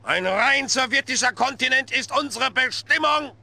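An actor playing a middle-aged Soviet dictator, boisterous and menacing, in a theatrical, commanding, gloating way for a video game cutscene. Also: male voice, very high-pitched, moderate pace, vocal fry, sharp tone, full character voice. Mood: angry, menacing.

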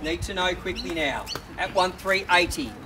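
A man with an Australian accent working as an auctioneer, calling bids at a live property auction on a suburban driveway outdoors and pressing the bidders to act quickly. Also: sits high, noisy background. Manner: brisk, persuasive, pushing bidders to act.

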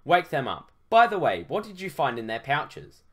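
A man voicing a bossy military commander giving loud, clipped orders in a fantasy story. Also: on the high side, sing-song, normal speed. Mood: commanding, alarmed.

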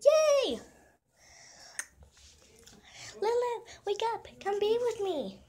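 A woman voicing an excitable, sweet and playful eight-year-old girl in an amateur comic dub. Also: very high voice, a little slow, pitched above the performer's own voice, full character voice. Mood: excited. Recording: noisy amateur phone recording.

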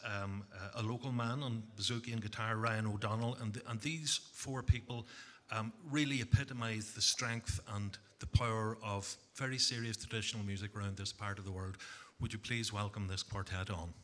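A man's voice: fairly low pitch, fairly even intonation, moderate pace, a bit bright. An older man with a Northern Irish accent introducing musicians on a concert stage at a stand mic, with many fillers and self-corrections. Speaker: concert compere.

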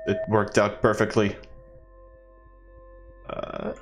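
A man's voice: lowish voice, level tone, slow, faint music behind. A man doing casual, joking let's play commentary, with swearing and muttered asides.

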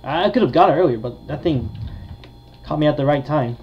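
A dull, lo-fi recording with faint noise carries a man commentating on a game he is playing. He talks casually and haltingly, pausing often as he goes. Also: fairly high pitch. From United States. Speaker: gamer, video creator.

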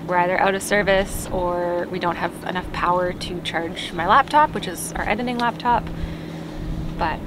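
A woman's voice: on the low side, normal speed. A young woman co-hosting a sailing vlog, chatting upbeat and casually to camera from a boat cockpit. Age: young adult. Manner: chatty, upbeat, laughs often. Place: outdoors in a sailboat cockpit at anchor. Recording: outdoor boat recording with ambient noise.

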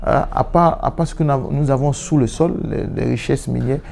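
Gravelly-voiced man, restarts a lot. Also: mid-range voice, brisk, darkish tone. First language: Yoruba. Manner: reflective, with frequent restarts and repeated words. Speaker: musician. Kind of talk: interview answers. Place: indoor room, seated on a sofa.

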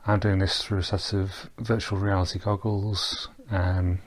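A man giving a relaxed, rambling voice-over walkthrough of a virtual boat tour, with frequent ums and restarts. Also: low-pitched, unhurried. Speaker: tour guide. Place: recorded while wearing VR goggles, not on the boat.

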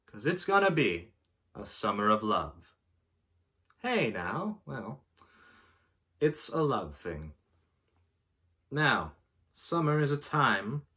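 A man in his twenties giving a mock-dramatic poetry reading in a pompous, deliberate way, recorded in a bedroom a short distance from the mic. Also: mid-range voice, sharp tone. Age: 20s. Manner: theatrical, deliberate, pompous delivery. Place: small bedroom, indoors.

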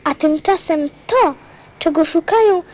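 A woman playing a gentle, earnest little boy prince in a radio play, with a simple, solemn, childlike manner. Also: very high-pitched, unhurried. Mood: solemn, wistful. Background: music.

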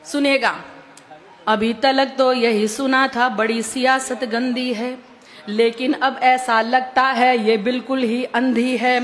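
A woman's voice: high-pitched, level tone, slow. A middle-aged woman poet reciting verse on stage at a podium microphone, declamatory and emphatic, repeating couplets to the audience. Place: stage at a public gathering with podium. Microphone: stand microphone on podium.

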